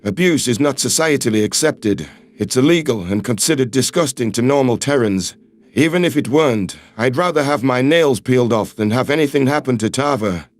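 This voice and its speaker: A man voicing a steady, earnest human man. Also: medium pitch, pitched below the performer's own voice, close to an everyday voice. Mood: firm. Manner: firm, measured reassurance. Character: calm, earnest human man, protective and patient. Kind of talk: audiobook character voice.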